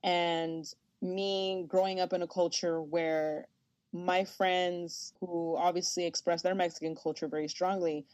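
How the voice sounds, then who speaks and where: A woman's voice: fairly low pitch, a little slow, no echo. Chatty woman, dry close sound. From United States. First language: Spanish. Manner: lively, chatty storytelling with frequent laughs and fillers. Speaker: sports journalist guest. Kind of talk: conversational interview.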